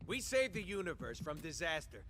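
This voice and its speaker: A man's voice: a little high, very animated, fairly quick, noisy background. An actor playing a boastful, sarcastic male raccoon rogue in a game cutscene with music behind.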